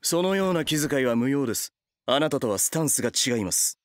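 A man playing a stern, animated anime retainer. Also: medium pitch, a little fast, dry recording, clean studio sound, lightly acted. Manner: formal, curt, businesslike. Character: stern, workaholic male retainer, exasperated and formal. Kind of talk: anime voice drama comedy acting.

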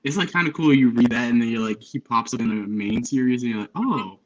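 Enthusiastic young man talking books, mid-pitched voice. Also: fast talker, dull audio. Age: young adult. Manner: enthusiastic, informal, frequent slangy praise. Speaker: book livestream host. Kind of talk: casual chat about books. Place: indoor room at home, on a video call. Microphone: headphones on, video call.

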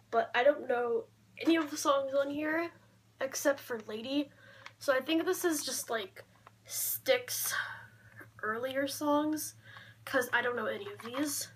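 A young woman talking casually in a low-key show-and-tell vlog, often trailing off, recorded on a camera mic in a bedroom. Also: fairly bright tone. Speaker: vlogger showing a collection. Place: small cluttered bedroom, indoors. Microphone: camera mic at arm's length. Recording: clear background noise, handling clicks.

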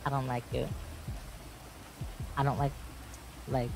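A man's voice: mid-range voice, flat delivery, slow pace, vocal fry, darkish tone, slight background noise, rough recording. A man roleplaying a laid-back character in a game, talking casually and often repeating himself. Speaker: roleplay player.

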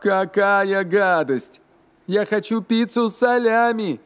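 Casting: excitable little mustached cartoon cook, childlike and exclamatory, high voice, unhurried pace. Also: male voice, faint music behind. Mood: dismayed, whiny.

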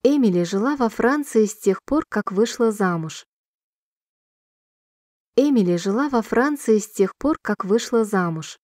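A woman reading short sentences slowly and clearly for a language-listening drill, repeating each one in a measured, even way. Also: medium pitch, no room sound, studio quality. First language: Russian. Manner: clear, measured, evenly paced repetition. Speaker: Russian sentence reader.

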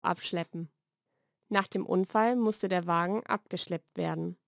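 A woman reads short scripted vocabulary lesson sentences in a clearly enunciated, measured way. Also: lowish voice, no room sound, clean studio sound. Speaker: language lesson narrator. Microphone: studio voice-over recording.

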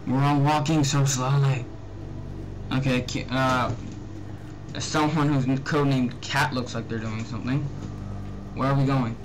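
A man's voice: mid-range voice, steady tone, measured pace, pauses often, noisy background. A young man giving casual, reactive gameplay commentary, grumbling and poking fun at himself. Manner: reactive, grumbling, self-mocking. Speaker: let's play gamer. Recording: loud game music and effects behind. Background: video game music, gunfire.

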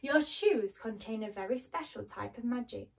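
A woman reading aloud as a cheerful, kind good witch with a soft, friendly voice. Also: a little high, normal speed, creaky, distant mic. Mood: soothing.

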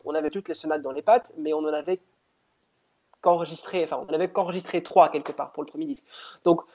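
A man's voice: fairly high pitch, darkish tone. A young man, not a native speaker, talking through a headset on a video call, thoughtful and hesitant with many restarts. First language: not French. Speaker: pianist interviewed. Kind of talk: casual interview over video call. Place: indoor room at home.

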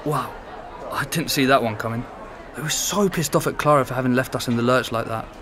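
An actor playing a chatty, laughing young ex-flatmate. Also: male voice, medium pitch, a little fast, crowd noise, noisy background, lightly acted. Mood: surprised. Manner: chatty, laughs while telling. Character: chatty young man, ex-flatmate of a missing student. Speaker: former flatmate. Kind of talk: conversational audio drama acting.

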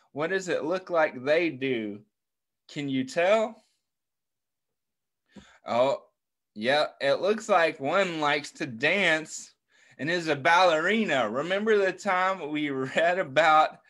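A middle-aged American man reads a picture book to small children. His voice sits a little high for a man and moves very slowly. He keeps putting questions to his listeners and encourages them with praise. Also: a bit bright. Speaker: children's story time host. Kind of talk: interactive picture book read-aloud for young children. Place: indoors on a video call.

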